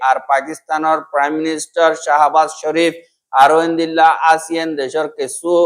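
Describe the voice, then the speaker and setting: Rohingya newsreader, a higher-voiced man. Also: rough recording. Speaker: news presenter. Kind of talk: scripted news reading.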